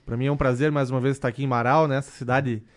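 Brief: man in his thirties, councilman guest on a radio show, steady, measured delivery with frequent fillers. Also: medium pitch. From southern Brazil. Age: 30s. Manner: measured, many fillers and restarts. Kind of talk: radio interview answers.

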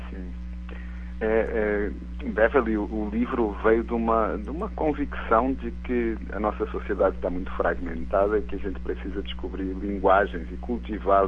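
Low-voiced Portuguese man on a tinny phone line. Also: a little hiss or hum. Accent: European Portuguese, softened by Brazilian sounds. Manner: thoughtful, searching for words with restarts. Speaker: guest author and biology professor. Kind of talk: live radio interview by phone. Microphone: telephone.

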